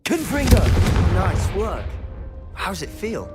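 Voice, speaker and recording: Confiding British schoolboy wizard, lively intonation. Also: male voice, sits high, slow pace, loud music behind the voice, heavily acted. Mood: keen, instructive. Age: young adult. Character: teenage wizard schoolboy, confident, secretive, mischievous, loyal. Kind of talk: video game cutscene acting.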